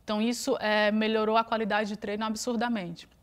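A woman in her thirties from Brazil, a guest on a TV studio interview, speaking in a relaxed, reflective way with frequent fillers. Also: medium pitch, a little fast. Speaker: interview guest, Paralympic swimmer.

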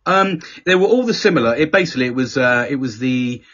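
A middle-aged man with a husky voice, telling a story in casual, sweary podcast chat and acting out the people he quotes. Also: medium pitch, lively intonation, fast, a bit bright. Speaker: podcast host. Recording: dull lo-fi podcast audio.

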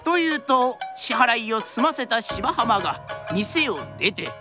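Female drama narrator: theatrical storytelling delivery, highish voice, animated, music underneath. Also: a little slow. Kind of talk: dramatic scripted narration in traditional Japanese storytelling manner.

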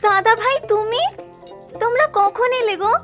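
A woman's voice: very high-pitched, moderate pace, over music. A woman voicing a sentimental, devoted young married village woman in a cartoon family drama. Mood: surprised, happy.

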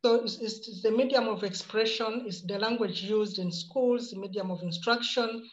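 A Ugandan woman in her fifties speaking on a video call from home, answering a panel question in a measured, reflective way and repeating words as she thinks. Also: mid-range voice. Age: 50s. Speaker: panelist writer. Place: home room with bookshelves. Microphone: laptop camera on a video call. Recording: muffled video-call audio.

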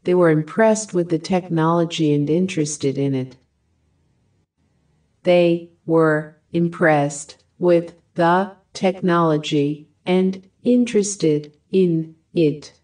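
Slow, low-voiced British woman reading drills. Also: darkish tone. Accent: British English. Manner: reads each sentence several times, some in chunks. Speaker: language lesson reader. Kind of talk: slow, clearly read phrase drills.

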